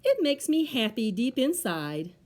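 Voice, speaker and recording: A woman voicing a big, muddled, good-natured male bear in a children's storybook, with a simple, earnest, drawn-out delivery. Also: a little high, very animated, a little mellow. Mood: contented.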